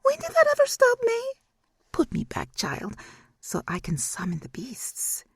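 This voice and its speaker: A woman's voice: high-pitched, huge pitch swings, a little slow, no echo, clean studio sound, voice pushed up, exaggerated voice. A woman voicing a scheming, mocking demon mother in an audiobook.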